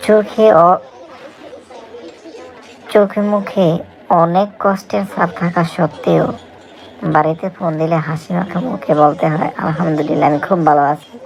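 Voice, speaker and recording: A man acts out a weary migrant worker in a scripted cartoon. The voice is gravelly and earnest, lamenting life away from home. Faint chatter sits behind it. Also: on the high side, darkish tone, rough recording. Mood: bitter, earnest.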